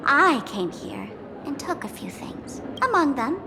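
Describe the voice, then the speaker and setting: A woman's voice: high-pitched, very animated, measured pace, gravelly, long reverb tail, noisy background, full character voice. A woman voicing a playful young trickster hostess in a video game, with a theatrical, teasing manner. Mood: playful, confiding. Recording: background music behind the voice.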